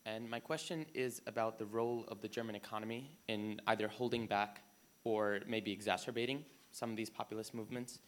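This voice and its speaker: An American man speaks from the floor at a panel discussion. His voice sits a little low, and he talks in an unscripted way, repeating phrases as he builds his question. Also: steady tone, brisk. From United States. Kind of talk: spontaneous public question.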